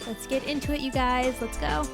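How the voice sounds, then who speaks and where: A woman's voice: sits high, steady tone, normal speed, creaky, over loud music. A woman from Chile hosting a casual interview podcast, chatty, with many "like"s and "um"s. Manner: warm, chatty, lots of "like" and "um". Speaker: podcast host.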